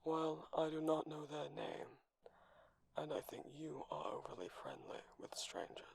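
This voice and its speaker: A man's voice: fairly high pitch, animated, measured pace, vocal fry, husky, dry recording, exaggerated voice, poor audio. A man voicing a grumpy, suspicious sentient robot in an ASMR roleplay, speaking in a formal, terse, disapproving way.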